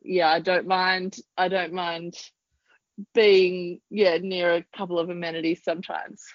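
An Australian woman in her thirties, a podcast guest who chats casually, laughs easily and fills pauses with ums. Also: fairly low pitch, level tone, no echo, lo-fi sound. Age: 30s. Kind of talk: casual interview chat.

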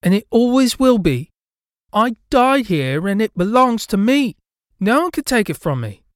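A man's voice: a little high, animated, unhurried, darkish tone, dry recording, studio quality, voice pushed up. A man voicing the scornful, demanding ghost of a little girl in a scripted horror-comedy story. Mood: defiant anger. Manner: spitting, demanding.

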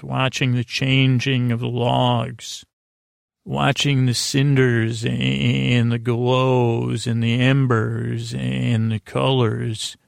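A man hosting a sleep podcast in an improvised, meandering monologue, halting and self-deprecating, with a gravelly, creaky voice. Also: medium pitch, dry recording, clean studio sound. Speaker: podcast host. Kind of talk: rambling, improvised bedtime monologue to help listeners sleep.

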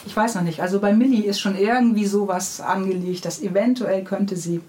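A woman's voice: mid-range voice, steady tone, brisk, a little mellow. A woman speaking as a guest author in a casual podcast interview, in a thoughtful, musing way.

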